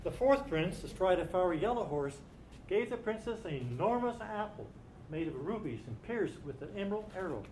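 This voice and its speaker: A man's voice: high-pitched, very animated, moderate pace, a little mellow, slight background noise, low-quality recording. A man narrating a fairy tale in a dramatized stage reading. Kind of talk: dramatized literary storytelling. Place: live staged reading in a room.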